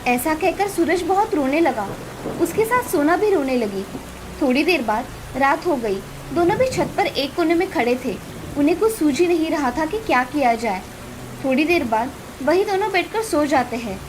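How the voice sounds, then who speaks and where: A woman's voice: high-pitched, lively intonation, moderate pace. A woman narrating a scripted moral story as a storyteller. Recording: clearly noisy, with ambience behind.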